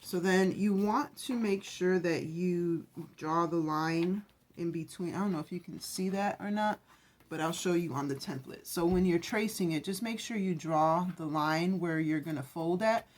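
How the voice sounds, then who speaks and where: A woman's voice: lowish voice, moderate pace. Chatty woman with a scratchy morning voice. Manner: chatty, rambling, self-correcting. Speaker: craft channel host. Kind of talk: casual craft tutorial.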